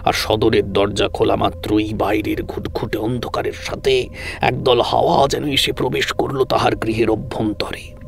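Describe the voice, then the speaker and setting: A man narrating a horror audio story in the first person with measured, suspenseful, dramatic delivery. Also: on the low side, gravelly. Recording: music under the voice. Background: background music.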